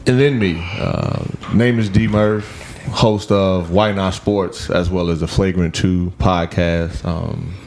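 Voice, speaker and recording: A man from the Midwest with a very deep voice, appearing as a guest on a podcast. He speaks in a measured, earnest way and pauses often on "uh." There is faint background noise. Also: steady tone, gravelly, darkish tone. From Gary, Indiana. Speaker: podcast host, guest on this show. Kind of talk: casual chat.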